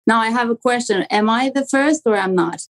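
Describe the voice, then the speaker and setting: A woman's voice: fairly high pitch, a little fast. A woman with a strong Romanian accent, a guest on a sales podcast, talking frankly with a joking edge. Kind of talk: casual interview chat.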